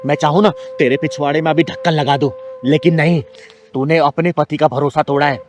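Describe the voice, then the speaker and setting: A man's voice: a little high, fairly even intonation, fairly quick, natural voice. A man playing a fierce, protective kinnar elder sister who scolds in blunt, threatening, preachy street talk in a short drama film. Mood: stern warning.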